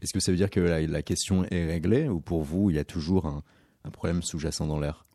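Radio host, male, very low and mellow, hesitant measured questioner, dry booth sound. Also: dry recording. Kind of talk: radio interview.

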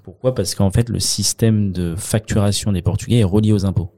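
A French accountant talking through his work in a relaxed interview, with many fillers and restarts. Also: on the low side, a little fast. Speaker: accountant, guest. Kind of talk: conversational interview.

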